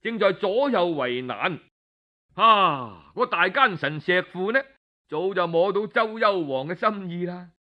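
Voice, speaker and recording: Cantonese guy doing old-style storytelling, highish voice, takes his time, colloquial and expressive, kinda muffled lo-fi audio. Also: measured pace. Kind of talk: Cantonese traditional storytelling narration of historical tale. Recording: dull lo-fi audio.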